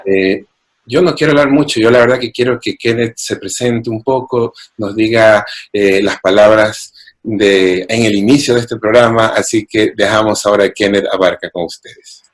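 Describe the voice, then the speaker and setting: A man's voice: fairly low pitch, steady tone, moderate pace. A man in his forties who hosts a radio interview, speaking in a friendly, conversational way with occasional hesitant fillers. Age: 40s. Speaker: radio host.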